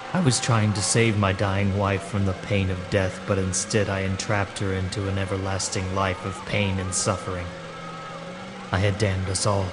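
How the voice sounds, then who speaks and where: A man giving a grave, sombre first-person narration in a dramatic horror style. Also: sits low.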